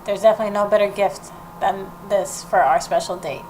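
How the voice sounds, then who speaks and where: A woman's voice: mid-range voice, fairly even intonation, brisk, a little mellow, low-quality recording. A woman hosting a let's play, reading game text aloud and dropping in informal, reactive asides. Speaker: gaming video host. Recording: clear background noise and game ambience.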